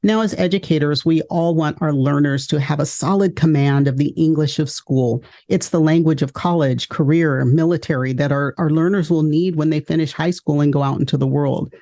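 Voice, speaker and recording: A woman from California hosting an education webinar, speaking in a clear, measured, list-like way. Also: low voice, fairly even intonation, warm tone. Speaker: education consultant and podcast host. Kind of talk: scripted webinar presentation.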